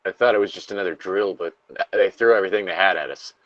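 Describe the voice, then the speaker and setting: A man reading a diary log as a trapped, dying factory worker, halting, weary and anguished. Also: deep voice, no echo, muffled recording, lightly acted. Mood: horrified. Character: dying, despairing male factory worker trapped under rubble.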